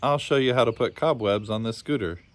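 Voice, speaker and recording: A man's voice: medium pitch, fairly quick, lightly acted. A man voicing a cheerful, forgetful old man with a folksy, absent-minded manner in a storybook. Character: cheerful, forgetful old man running a lost-things land. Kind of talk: storybook character voice.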